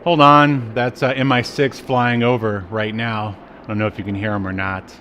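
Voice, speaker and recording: A man's voice: on the low side, flat delivery, moderate pace, pushed and bright, slight background noise. A man co-hosting a podcast, chatting casually and recapping a show in a sardonic, jokey way. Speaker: podcast co-host. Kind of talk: casual comedic chat recapping a cartoon.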